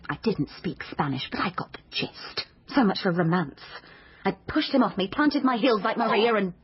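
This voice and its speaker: A woman's voice: mid-range voice, big pitch swings, normal speed, husky. An actress playing a naive, dreamy, chatty young woman in a radio drama, wry and melodramatic, by turns narrating and flustered. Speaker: lead character and narrator. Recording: dull lo-fi radio sound under music.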